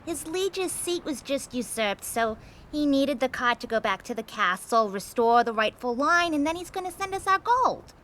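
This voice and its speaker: Woman acting a high-pitched, gullible garbage collector. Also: animated, moderate pace, a bit bright. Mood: earnest, defensive. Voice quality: folksy, colloquial delivery. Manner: earnest, self-assured. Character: gullible, cheerfully confident female garbage collector. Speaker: audio drama actor. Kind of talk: audio drama dialogue.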